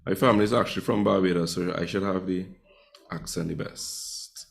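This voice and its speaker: A man's voice: deep voice, steady tone, brisk, creaky. A man teaching a live online Spanish class, chatty and teasing with his students.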